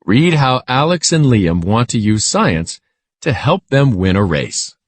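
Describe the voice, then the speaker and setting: A man narrating a children's read-aloud in a deliberate, expressive scripted style. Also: medium pitch, no echo.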